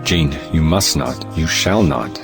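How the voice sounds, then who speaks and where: Man acting a deep-voiced, righteous clergyman. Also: slow pace, vocal fry, music in the background, heavily acted. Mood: stern warning. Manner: solemn, moralizing. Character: earnest, righteous clergyman, solemn and principled. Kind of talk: audio play acting.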